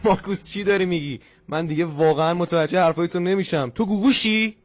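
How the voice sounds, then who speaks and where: A man's voice: sits high, close to an everyday voice. A man voicing a puzzled male friend who protests in disbelief, in a comedy cartoon dub. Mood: incredulous. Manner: incredulous, protesting.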